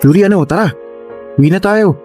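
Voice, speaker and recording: A man's voice: high voice, a little fast, dark tone, lightly acted. An actor playing a lovesick, insistent young man in a Filipino radio drama, pleading and teasing in a dramatic way. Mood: coaxing. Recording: music under the voice.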